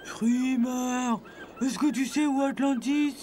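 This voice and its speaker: A man's voice: extremely high pitch, fairly even intonation, slow pace, darkish tone, a little hiss or hum. A man voicing a small, talkative, sceptical sea animal in a French cartoon dub, with a teasing, questioning delivery. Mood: cheeky.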